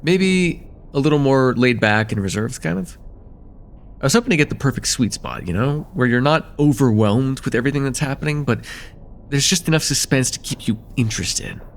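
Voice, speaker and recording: Man acting as a friendly college guy, lively pitch. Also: normal speed, natural voice. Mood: thoughtful. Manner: casual, hesitant, conversational with soft teasing. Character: friendly, teasing college-age man chatting with close friend. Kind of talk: slice-of-life ASMR roleplay acting.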